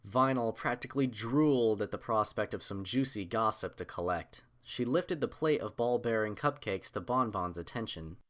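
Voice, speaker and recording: A male audiobook narrator reads scripted fiction. His voice sits a little low, and he delivers descriptive story prose. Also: moderate pace, hardly any pauses, a bit bright.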